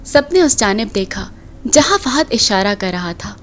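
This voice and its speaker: A woman's voice: mid-range voice, sing-song, measured pace. A woman reading scripted narration for a horror audio drama. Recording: noisy track with loud ambience.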